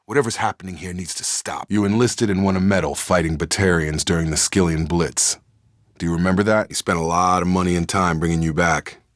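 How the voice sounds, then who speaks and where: Deep-voiced, duty-minded space soldier played by a man. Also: steady tone, no echo, studio quality, lightly acted. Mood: calm, serious. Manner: measured, plain-spoken, earnest. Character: steadfast young male space soldier, guarded, duty-minded. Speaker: squadmate in a science fiction game. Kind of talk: video game voice acting, naturalistic dramatic dialogue.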